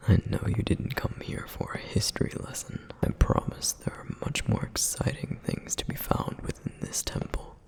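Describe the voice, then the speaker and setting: A man voicing a dutiful, protective male flame demon in an ASMR roleplay, with a soft whispered and wistful, reflective delivery. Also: a little slow, lightly acted.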